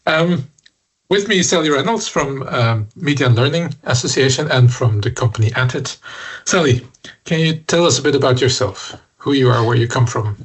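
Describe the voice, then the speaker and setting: Husky-voiced male radio host. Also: medium pitch, expressive, normal speed, rough recording. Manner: hesitant questions with many fillers. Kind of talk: radio interview.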